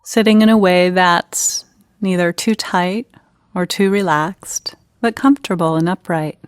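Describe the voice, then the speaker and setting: A woman leading a guided meditation in an unhurried, gentle, instructional manner with pauses between phrases. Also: fairly low pitch, smooth, dry recording, clean studio sound.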